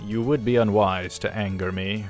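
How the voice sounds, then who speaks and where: Guy playing stern bossy young man in a visual novel, kinda low voice, thinks a lot of himself, quiet music. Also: fairly even intonation, normal speed, lightly acted. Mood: stern, demanding. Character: stern, threatening, self-important young man.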